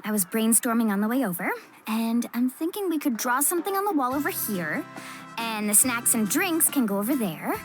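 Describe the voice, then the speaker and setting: A woman's voice: fairly high pitch, very animated, a little fast, faint music behind. A woman voicing an upbeat, friendly young surfer girl in a video game, with a breezy, casual manner. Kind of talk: animated game voice acting.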